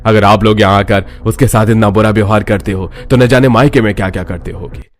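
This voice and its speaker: A man's voice: fairly low pitch, fairly even intonation, a little fast, slight background noise, lightly acted. A man playing a kindly, protective older father-in-law in a cartoon family story. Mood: stern. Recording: music bed under the voice.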